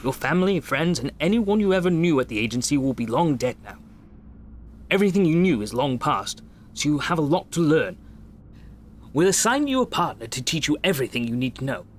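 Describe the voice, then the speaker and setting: Brisk, encouraging male game doctor, instructive and formal, lightly high pitch, ambient noise behind. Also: big pitch swings. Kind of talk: video game tutorial dialogue acting.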